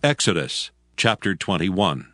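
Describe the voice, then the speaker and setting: A man's voice: deep voice, animated, measured pace, sharp tone. A man narrating scripture in a dramatized audio production, formal and solemn. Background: music.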